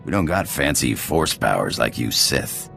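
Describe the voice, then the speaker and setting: A man playing a cocky, roguish space pirate in a video game, with a gravelly drawl, casual slang and a flirtatious swagger. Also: sing-song, moderate pace, fairly bright tone, faint music behind, full character voice. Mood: relishing, relaxed. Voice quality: gravelly, very deep voice. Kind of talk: video game character acting.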